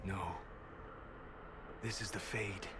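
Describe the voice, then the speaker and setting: A man playing a calm, scholarly mage in a video game, with a precise, contemplative way of talking. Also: on the low side, takes it slowly, very noisy. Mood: awed. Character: calm, scholarly male mage fascinated by spirits. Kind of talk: dramatic video game dialogue. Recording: game audio with loud background ambience.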